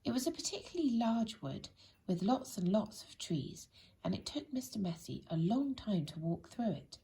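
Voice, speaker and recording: A woman reads a children's bedtime story expressively and deliberately, speaking directly to young listeners with a breathy, husky voice. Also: medium pitch, dark tone, a little hiss or hum.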